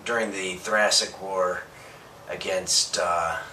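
A young man hosting a home workout parody, explaining each exercise with earnest deadpan delivery and halting restarts. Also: deep voice, a little hiss or hum. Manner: earnest, trailing off and restarting mid-sentence. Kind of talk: deadpan fitness instruction. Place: small bedroom at home. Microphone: camera mic from across the room. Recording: echoey room, voice a bit far from mic.

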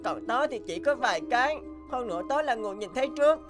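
A woman's voice: fairly high pitch, animated, quick pace, lightly acted. Woman voicing an earnest cartoon schoolboy, music behind. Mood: pleading. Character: earnest, easily indignant schoolboy. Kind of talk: animated cartoon dialogue. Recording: background music under dialogue.

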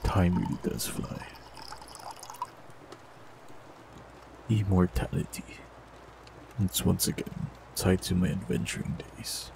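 A man's voice: deep voice, unhurried, creaky. A man voicing an ancient immortal male forest warlock in an audio roleplay, speaking with dry, teasing humor. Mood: somber. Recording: steady rain noise behind voice. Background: rain.

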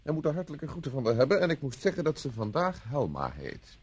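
Urbane, husky gentleman. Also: a little high, huge pitch swings, fast, full character voice. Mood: wry, polite. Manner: polite, wry, leisurely phrasing. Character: urbane, wry gentleman friend giving a statement. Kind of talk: radio play acting.

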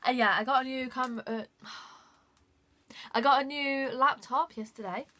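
A woman's voice: high voice, steady tone, measured pace, pushed and bright. A young woman vlogging casually from her bedroom, rambling with lots of fillers and little giggles. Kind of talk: casual vlog chat. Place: bedroom, indoors. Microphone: phone camera at arm's length.

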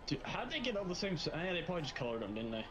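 A man with a slightly high, very lively voice improvises a gaming roleplay. He banters in loose, casual slang, his pitch swinging widely. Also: very fast, crowd noise. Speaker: gaming co-player role-playing a rival driver.

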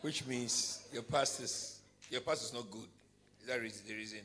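A man's voice: medium pitch, moderate pace, sharp tone. Man asking short direct questions on a mic. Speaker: bishop interviewing congregants. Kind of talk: questioning church members on a roving mic. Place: large hall with audience. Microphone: handheld microphone.

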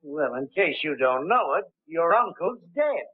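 An actor playing a gruff, sarcastic policeman with a bossy, mocking manner in a 1930s film. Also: fairly high pitch, very animated, a little slow, full character voice. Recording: muffled old 1930s film soundtrack.

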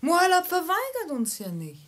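A woman's voice: very high-pitched, huge pitch swings, moderate pace, full character voice. An actress playing an imperious woman among desert robbers in a 1950s German radio drama.

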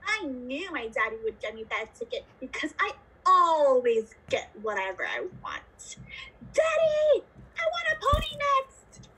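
A woman voicing a spoiled rich little girl in an amateur puppet show, whiny, bossy and shrill. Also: huge pitch swings, measured pace, bright, forceful tone, heavily acted, rough recording. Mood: smug, demanding.

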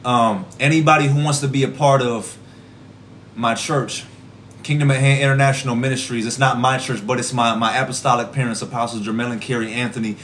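Hoarse young man praying fervently. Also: medium pitch, fairly even intonation, brisk, fairly bright tone, a little hiss or hum. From Rockford, Illinois. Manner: fervent, rhythmic, repetitive prayer cadence. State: hoarse, losing his voice. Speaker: evangelist. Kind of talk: livestream prayer and preaching. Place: small indoor room at home. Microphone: phone camera at arm's length.

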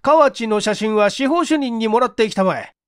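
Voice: mid-range voice, lively intonation, moderate pace, no echo, studio quality, pitched above the performer's own voice, close to an everyday voice. A performer voicing a stern, overbearing male police investigation chief in a mystery audiobook, accusatory and commanding.

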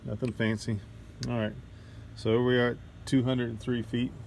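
A man's voice: medium pitch, little pitch movement, moderate pace, vocal fry, darkish tone. A man casually narrating a field test off the cuff, thinking aloud as he works, recorded outdoors on a cell phone. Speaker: vlogger testing drone gear. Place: outdoors at night in a neighborhood. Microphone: handheld cell phone. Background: outdoor ambience and passing vehicle noise.

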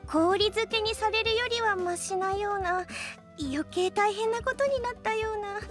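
A woman's voice: extremely high pitch, measured pace, music in the background. A woman playing a young, easily flustered magic student who pleads in an anime radio drama. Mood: glum.

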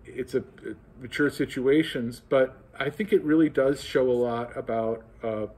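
A man in his sixties introducing and reading a novel aloud, halting with fillers when speaking freely, in a library. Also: medium pitch, darkish tone. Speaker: guest reader. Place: library, in front of bookshelves.

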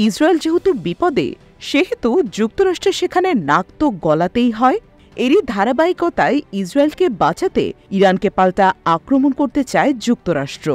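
A woman's voice: high voice, darkish tone. A woman delivering scripted news narration as a news reader. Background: background music.

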